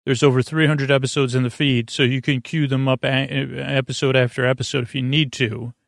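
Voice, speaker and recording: A man hosting a bedtime podcast in a rambling, halting monologue full of tangents, with a gently whimsical, playful manner. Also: mid-range voice, level tone, vocal fry, no room sound, clean studio sound. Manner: meandering, halting, self-interrupting tangents. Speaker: podcast host.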